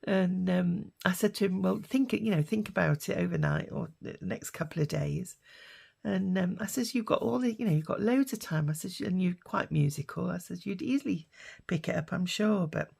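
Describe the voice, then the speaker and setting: An older British woman with a husky, hoarse voice from a lingering cough, chatting casually while she crafts. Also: on the low side, lively intonation, moderate pace, darkish tone. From United Kingdom. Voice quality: husky, raspy. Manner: rambling and chatty, with many fillers. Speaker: craft vlogger.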